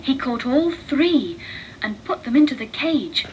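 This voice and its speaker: Slow-reading woman storyteller, noisy recording. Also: high voice, very animated, a little mellow. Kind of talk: read-along storybook narration.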